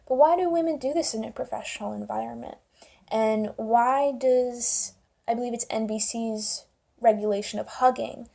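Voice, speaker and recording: High-pitched, creaky young woman, informal. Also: dark tone. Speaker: video commentator. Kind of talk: casual opinion vlog to camera.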